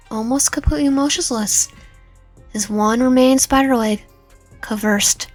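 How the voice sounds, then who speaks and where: A woman narrating a dramatic story read aloud, stumbling over and repeating some words as she reads. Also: mid-range voice. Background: background music.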